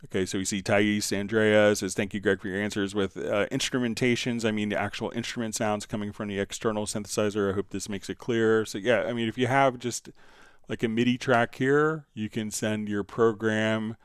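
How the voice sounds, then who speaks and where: A man hosting a casual live software tutorial, explaining step by step in a conversational way as he answers viewers. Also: fairly low pitch, fairly even intonation, fairly quick, clean tone, dry recording. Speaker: livestream host and software instructor.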